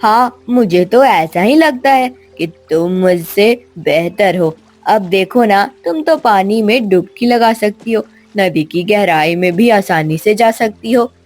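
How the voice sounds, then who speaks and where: A woman's voice: mid-range voice, takes it slowly, few pauses, darkish tone, soft background music. A woman voicing a kind, helpful schoolboy in a cartoon story, earnest and friendly. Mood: admiring.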